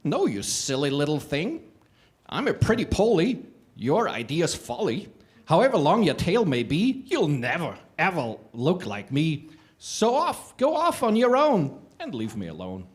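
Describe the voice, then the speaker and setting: A man's voice: a little high, huge pitch swings, unhurried. A man voicing a scornful parrot that tells someone off. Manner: scornful, dismissive. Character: snooty scolding parrot.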